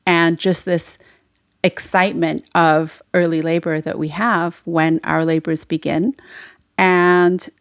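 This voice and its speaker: Woman podcast host, Farsi native speaker, low gravelly voice, dry close sound, reflective storytelling. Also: fairly even intonation, slow pace, no room sound. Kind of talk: solo podcast monologue.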